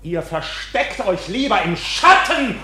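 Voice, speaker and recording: A man's voice: high voice, big pitch swings, takes it slowly, background noise, exaggerated voice. A man in a German radio play acting a proud, defiant accused bank officer in a theatrical, indignant manner. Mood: shouting defiance.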